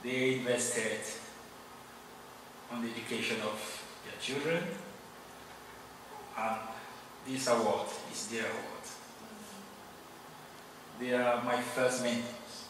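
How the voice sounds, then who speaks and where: A man's voice: medium pitch, steady tone. Cameroonian man speaking in an echoey hall. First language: Yemba. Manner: earnest, grateful, measured with pauses. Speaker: award recipient giving acceptance speech. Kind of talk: formal acceptance speech. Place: large hall. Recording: echoey hall, distant mic, noisy.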